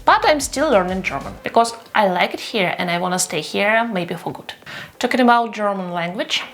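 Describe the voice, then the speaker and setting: A woman's voice: mid-range voice, expressive, normal speed, fairly bright tone, soft background music. A woman in her thirties, for whom English is not her first language, talking candidly in a casual personal vlog and poking fun at herself. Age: 30s. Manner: candid and self-deprecating. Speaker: vlogger.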